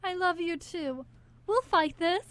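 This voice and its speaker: A woman plays an emotional, devoted young student in love. Her voice is extremely high and she speaks slowly and dramatically. It is live amateur radio acting. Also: fairly bright tone, exaggerated voice. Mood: tender, sorry.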